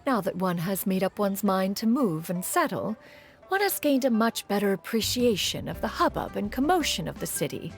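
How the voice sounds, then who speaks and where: A lively, swooping voice with grand archaic phrasing: a woman as an ancient crane spirit in an old woman's form, proud and dignified. Soft game music runs beneath. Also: mid-range voice, lightly acted. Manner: archaic, formal, haughty, speaks of herself as "one".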